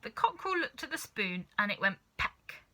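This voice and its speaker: A woman reading a children's story aloud as narrator, with expressive scripted narration. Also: medium pitch, normal speed. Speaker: storybook reader.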